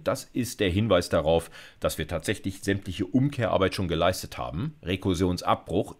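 Very low-voiced, lively male lecturer. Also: a little hiss or hum. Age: middle-aged. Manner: conversational, addresses listeners directly, frequent check-in words. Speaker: university lecturer. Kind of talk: informal programming lecture, explaining step by step. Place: indoor recording setup, screencast lecture.

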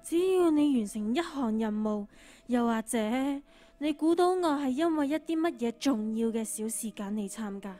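A woman's voice: a little high, lively intonation, normal speed, warm tone, lightly acted. A teenage girl playing a mysterious, teasing game-master caller in a radio drama on stage. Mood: teasing, commanding. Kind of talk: Cantonese radio drama acting. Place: on stage in a school drama competition hall.

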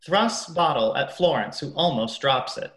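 Young male narrator, scripted stage directions, medium pitch, echoey room. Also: lively intonation, moderate pace, fairly bright tone. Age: young adult.

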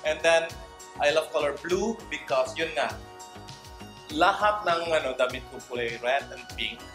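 A man's voice: on the high side, moderate pace. Playful guy in his 30s vlogging, roomy sound, music playing behind. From Indonesia. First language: Indonesian. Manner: chatty, playful, mixes languages. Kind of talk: casual chat to camera. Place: indoor room with wood-panelled wall.

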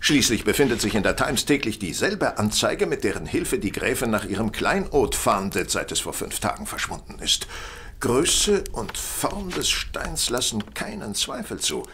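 An actor playing a shrewd, self-assured gentleman detective, speaking eloquently and courteously in an audio drama. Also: fairly low pitch, very animated, normal speed, slight background noise. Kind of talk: German audio drama acting.

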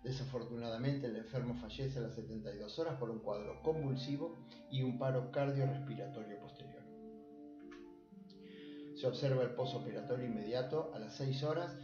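A man from Argentina with an Argentine accent, a surgeon presenting a clinical case in a measured, formal way. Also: mid-range voice, roomy sound, highs cut off, over music. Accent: Argentine Spanish. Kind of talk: recorded medical case presentation.

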